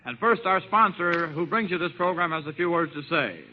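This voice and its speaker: A man's voice: fairly high pitch, brisk. A man delivering a scripted introduction and mock sponsor message as presenter of a 1940s radio comedy show. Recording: dull, noisy 1940s radio recording.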